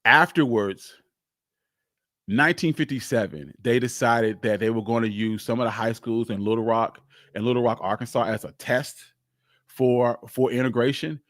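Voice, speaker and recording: A man hosting a casual, unscripted live history stream, speaking candidly in a halting, rambling way. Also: medium pitch, a bit bright, no room sound, clean studio sound. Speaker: livestream host, history storyteller.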